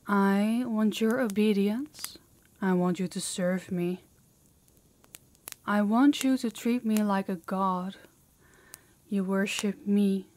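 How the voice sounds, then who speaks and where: A woman's voice: mid-range voice, darkish tone, lightly acted. A woman voicing a harsh, dominant female demon in an intimate audio roleplay, with a haughty, commanding, taunting manner. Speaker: voice actor.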